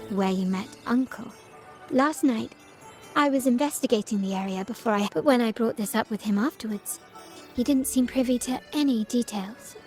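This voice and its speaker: An actress playing a poised young heiress of a crime family in a video game, speaking formally and precisely. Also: female voice, on the high side, a little mellow, lightly acted. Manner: composed, formal, articulate. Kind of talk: scripted video game dialogue. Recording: music behind.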